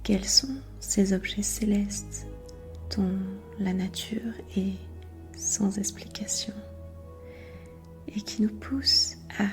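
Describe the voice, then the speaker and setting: Casting: woman playing a composed mission control scientist, soft slow whisper, even delivery, sci-fi ASMR roleplay. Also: mid-range voice, natural voice. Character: calm, thoughtful female space mission control scientist.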